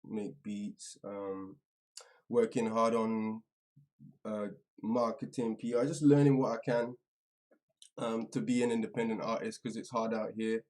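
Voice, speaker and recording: A man hosting a live stream in casual, slangy chat, halting and trailing off as he goes, a little away from the microphone. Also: on the low side, fairly even intonation, darkish tone, low-quality recording. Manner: informal, slangy address to viewers; halting, distracted, trailing off mid-sentence. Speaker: livestream host. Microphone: some distance from the microphone.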